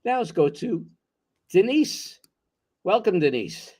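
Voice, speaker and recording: A male host whose voice swings widely in pitch and sits a little high. He teases his callers in a relaxed call-in chat. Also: slow pace. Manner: teasing, lively.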